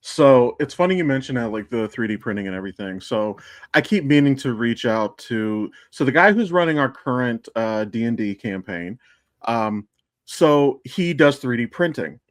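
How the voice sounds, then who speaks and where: A man's voice: mid-range voice, expressive, normal speed, dry recording, studio quality. A middle-aged man hosting a podcast in casual chat, rambling and swearing freely as he tells stories. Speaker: podcast host.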